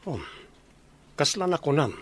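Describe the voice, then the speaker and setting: A man's voice: a little high, very animated, a little slow. A man with an Ilocano accent playing a chatty, uneasy soldier in a radio drama.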